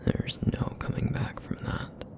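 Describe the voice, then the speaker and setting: A man voicing a broody, guarded feral essence eater in an ASMR roleplay, with a raspy, rumbling, breathy murmur and terse, grudging phrases. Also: unhurried, close to an everyday voice. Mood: grim, weary. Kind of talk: ASMR roleplay audio acting.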